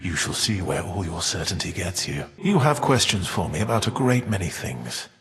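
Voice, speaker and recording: A man playing a weary, defiant, all-knowing Titan in a video game, speaking in a measured, formal, archaic and foreboding way. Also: low voice, sing-song, big-room sound, a crowd in the background. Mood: solemn, foreboding. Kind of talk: theatrical video game character voice acting.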